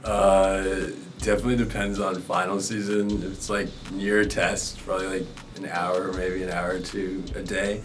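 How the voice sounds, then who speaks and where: Easygoing young man, very low voice. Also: flat delivery, normal speed, few pauses, background noise. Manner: easygoing, with uhs and laughs. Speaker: student interviewee. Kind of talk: casual street interview.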